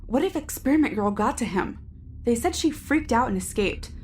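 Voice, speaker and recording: An actress playing a cool, cynical security team member in a science fiction audio drama, terse, wry and matter-of-fact. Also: female voice, fairly high pitch, expressive, moderate pace, a little mellow, a little hiss or hum, natural voice. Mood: inquisitive.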